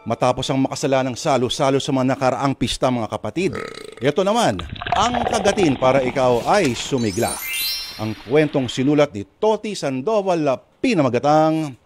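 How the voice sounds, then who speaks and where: A man's voice: medium pitch, lively intonation, moderate pace, music in the background. A man with a Filipino accent hosting a radio drama, reading dramatic narration in a florid, theatrical way.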